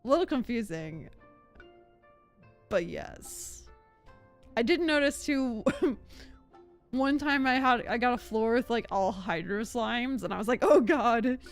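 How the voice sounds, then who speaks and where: A woman's voice: a little high, lively intonation, takes it slowly, pauses often. A woman live streamer chatting casually over a game, giggly and rambling. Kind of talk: casual gaming stream chat. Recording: background game music.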